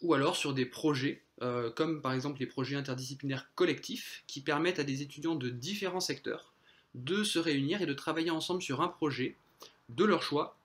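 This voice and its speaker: A man presenting a school's studies to prospective students, explanatory with occasional fillers. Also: a little high.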